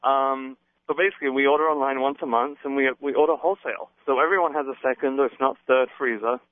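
A man with a fading English accent, talking as a guest on the phone in a friendly, measured way. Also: mid-range voice, fairly even intonation, no room sound. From England. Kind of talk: phone interview. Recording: tinny phone line.

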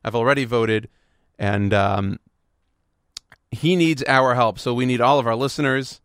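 A man's voice: mid-range voice, measured pace, fairly bright tone, no echo, studio quality. A man hosting a radio show, talking informally to his listeners. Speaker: show host.

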